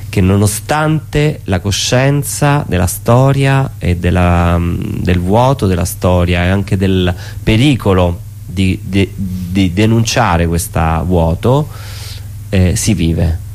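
A man talking reflectively in a radio interview, halting and repeating words as he thinks. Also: low voice, darkish tone. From Italy. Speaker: theatre artist, interview guest.

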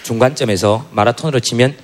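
A man's voice: mid-range voice, fast talker, a little hiss or hum. A man on a TV music show reading listener comments in comic banter, acting out funny voices. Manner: lively, acts out funny voices, laughs often. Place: TV music show studio stage. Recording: broadcast studio with live audience.

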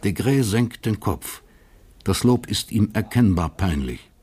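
Low-voiced male radio drama narrator. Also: expressive, dark tone, breathy edge. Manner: sober, literary, measured.

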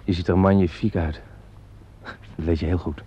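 A man's voice: deep voice, fairly even intonation, normal speed, dark tone, slightly rough. An actor playing an earnest, businesslike young man in a radio drama, serious, insistent and dry. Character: earnest, businesslike young theatre designer, stubborn and suspicious. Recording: dull lo-fi radio play recording.

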